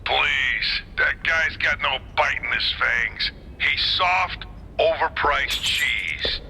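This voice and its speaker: Sinister cartoon crony, extremely unhurried, very sharp edge, dry sarcasm, faint noise behind. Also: male voice, medium pitch, creaky, heavily acted. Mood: sardonic. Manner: dry, sardonic. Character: sardonic, slow-drawling sinister crony villain. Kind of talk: noir cartoon villain acting.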